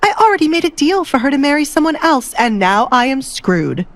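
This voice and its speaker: A woman's voice: high voice, big pitch swings, fairly quick, no echo, pitched above the performer's own voice. Woman voicing an irritable, scheming baron father. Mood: irritated. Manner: scolding, calculating.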